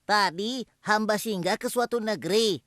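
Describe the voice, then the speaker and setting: A woman's voice: medium pitch, moderate pace, fairly bright tone, no room sound, close to an everyday voice. A woman voicing a humble, eager hoopoe bird messenger in a cartoon, speaking deferentially. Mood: reporting. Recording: dry with faint music.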